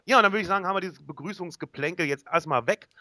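A man's voice: high voice, racing, bright, forceful tone, no echo, studio quality. A man, hosting a casual podcast chat. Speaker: podcast host.

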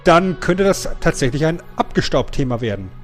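A man with a slightly high voice co-hosts a podcast. He talks informally and colloquially, often repeating a word to drive his point home. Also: very animated, moderate pace, fairly bright tone, soft background music. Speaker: podcast co-host. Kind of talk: casual podcast chat.

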